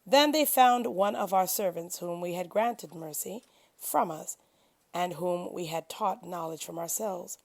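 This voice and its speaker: A woman giving an expressive, solemn and dramatic reading of translated scripture, with emphatic phrasing. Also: fairly low pitch, a little slow, gravelly. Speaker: scripture reader.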